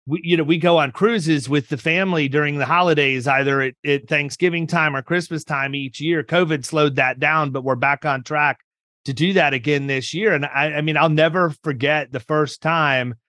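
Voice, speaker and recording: A middle-aged man hosts a podcast with a bright, somewhat high voice. He talks in a folksy, joking, rambling way. The sound is close and dry. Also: steady tone, moderate pace, no room sound. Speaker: podcast host. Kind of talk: casual interview chat.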